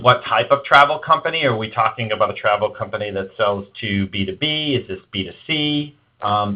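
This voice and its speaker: A man with a fairly low voice answers as a guest on a business webinar. He explains in an informal, hedging way, talking through advice point by point. The audio is a little dull and lo-fi. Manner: explanatory, hedging with "kinda" and "you know". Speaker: marketing executive guest.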